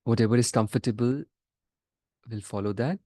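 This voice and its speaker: A man leading a guided meditation as a teacher, giving measured instructions in short phrases with pauses between them. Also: medium pitch, mellow, dry recording, clean studio sound.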